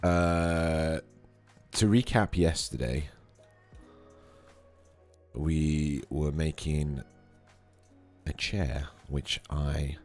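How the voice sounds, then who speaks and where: A man with a slightly hoarse voice from a cold, thinking aloud with fillers as he streams a casual modeling tutorial at his computer. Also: rumbling low, extremely slow, long pauses, a little mellow. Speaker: 3D artist streaming a modeling session. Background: faint background music.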